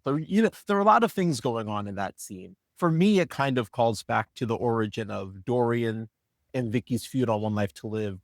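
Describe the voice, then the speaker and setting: A man's voice: lowish voice, dry recording. A man giving analytical commentary as a panelist on a podcast about a drama. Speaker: podcast panelist from the show's team. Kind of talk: analytical discussion of a drama episode.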